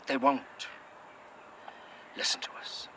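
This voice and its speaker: A man's voice: takes it slowly, background noise, natural voice. An actor playing a curt, sarcastic man who swears freely. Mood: irritable. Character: bitter, sweary, sardonic man, weary. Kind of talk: audio drama acting.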